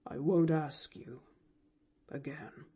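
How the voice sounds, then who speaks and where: A lonely older man speaks gently and slowly, his voice low and heavy with feeling, sometimes dropping almost to a whisper, as a woman reader gives him voice. The tone is dark and mellow. It is a grave storybook figure. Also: slightly rough, full character voice. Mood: hurt.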